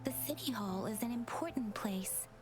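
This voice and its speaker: Woman doing sweet diligent scout girl from a video game, highish, gentle n polite, music under it. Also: lively intonation, normal speed, natural voice. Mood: gentle, helpful. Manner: polite, soft-mannered, earnest. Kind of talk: video game character acting.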